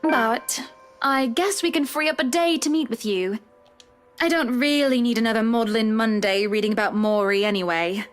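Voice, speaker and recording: A woman's voice: on the high side, lightly acted. A woman playing a wealthy, breezy, imperious socialite wife in a visual novel game, with a polished, airy delivery. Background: background music.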